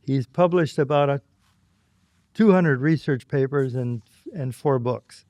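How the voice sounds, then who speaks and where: An older man hosting a studio interview, formally introducing his guest in a measured, deliberate way at a boom microphone. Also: darkish tone, dry recording. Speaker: host. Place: dark studio. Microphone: boom microphone on arm.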